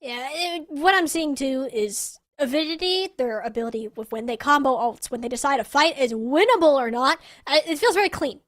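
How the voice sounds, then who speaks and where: A woman doing live esports commentary as a caster, excitable and colloquial, with playful interjections. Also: sits high, normal speed, few pauses.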